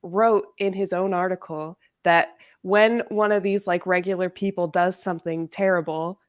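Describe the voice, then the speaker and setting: A woman in a casual book discussion, speaking in an informal, exclamatory and animated way. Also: lowish voice, moderate pace. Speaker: guest discussing a novel in a readalong livestream.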